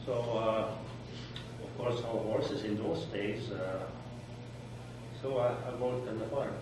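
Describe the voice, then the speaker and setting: An older man from Switzerland recalls his past in a plain, halting manner, pausing often. He sits well back from the microphone. The room is very echoey, and there is steady background noise. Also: lowish voice. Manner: plain, halting storytelling with many pauses. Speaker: interviewee. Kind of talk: oral history interview. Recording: echoey room, distant mic, noisy.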